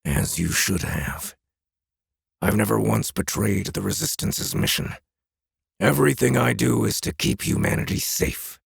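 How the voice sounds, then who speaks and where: A man playing a cold, manipulative military commander and villain in an audiobook drama, calm and condescending in manner. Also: lowish voice, measured pace, dry recording, clean studio sound, exaggerated voice. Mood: calm, self-righteous. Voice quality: gravelly, husky.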